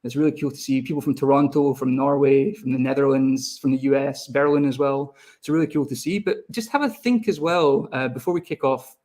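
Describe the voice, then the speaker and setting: A young adult man with a Scottish accent, a video team lead talking quickly as he presents a live online webinar and speaks to viewers in the chat. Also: mid-range voice, fairly even intonation, darkish tone.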